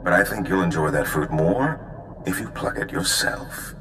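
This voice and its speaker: Low, smooth schemer, echoey room, loud score. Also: male voice, measured pace, music in the background. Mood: conspiratorial. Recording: roomy game audio with loud score.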